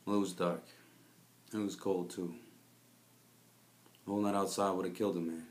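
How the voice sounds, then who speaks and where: Guy doing a jaded noir private eye, real low voice, brooding storyteller, roomy echo. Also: flat delivery, normal speed, close to an everyday voice. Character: world-weary, cynical male private investigator in a bleak city. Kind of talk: scripted noir detective monologue.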